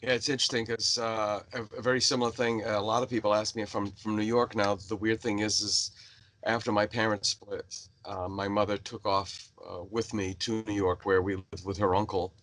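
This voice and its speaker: A man's voice: fairly low pitch, flat delivery, normal speed, a bit bright. A middle-aged man hosting a casual interview, rambling and hesitant with many fillers. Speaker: host.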